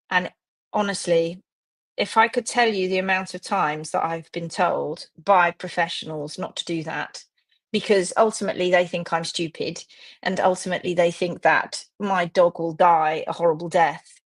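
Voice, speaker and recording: Woman chatting on her live stream, friendly and rambly, voice a little croaky. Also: on the low side. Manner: chatty, greets viewers by name. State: slightly croaky voice. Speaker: host of a live hoof care stream. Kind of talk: live stream chat to viewers.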